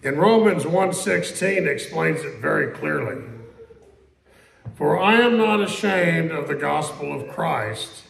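A pastor preaching a conversational sermon in a church, asking questions, repeating them and quoting scripture slowly. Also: male voice, on the high side, lively intonation, vocal fry, fairly bright tone. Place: church sanctuary. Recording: echoey church room.

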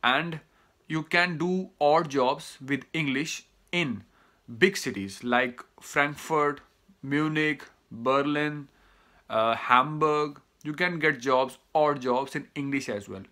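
A man's voice: a little high, slow, bright, forceful tone. A man in his thirties with a Pakistani accent, a native Urdu speaker, giving advice to students in a casual talk to camera, hesitant, with many "uh" fillers and restarts. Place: small indoor room, plain wall.

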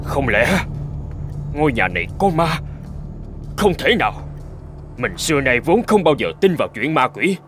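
Give this cartoon husband a middle-aged, easily alarmed character. He is curious and exclamatory, often muttering to himself. His pitch moves in lively swings over soft background music. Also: normal speed, pauses often. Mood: puzzled, uneasy. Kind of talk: animated cartoon voice acting.

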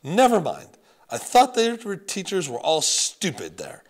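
A man's voice: on the high side, wildly swooping pitch, a little slow, pitched above the performer's own voice, exaggerated voice. A man doing a French grandmother, thick accent. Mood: doting, puzzled. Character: elderly French grandmother, doting and blunt. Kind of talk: audiobook character voice.

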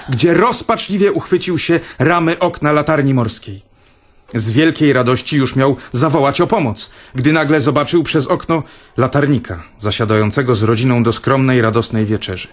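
An actor playing a vain, theatrical older brother in a radio play, telling tales in a pompous manner. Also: male voice, mid-range voice, close to an everyday voice. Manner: pompous, storytelling.

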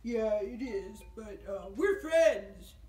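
High, lilting, gentle zombie puppet. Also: male voice, animated, slow, roomy sound. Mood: friendly. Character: gentle, friendly zombie puppet, falling apart, easily flustered. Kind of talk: puppet show for children.

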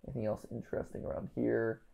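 A man in his thirties talks through a puzzle live in a casual way. His mid-pitched voice has plenty of creak. He mutters unfinished thoughts to himself and leans into the bits he reads out. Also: little pitch movement, quick pace, warm tone. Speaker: livestream host. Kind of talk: casual live puzzle-solving commentary, thinking aloud. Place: indoor room, livestream set.

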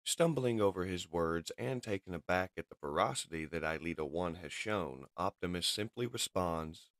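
A man's voice: low voice, expressive, normal speed, a bit bright, no room sound, studio quality. A man giving dramatic scripted narration as the storyteller of a comic book reading.

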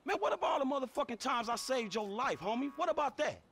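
An actor playing an exasperated, defensive young American street hustler in a video game, slangy and profane. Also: male voice, very high-pitched, very animated, fast, a bit bright, a little hiss or hum, full character voice. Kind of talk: video game cutscene acting.

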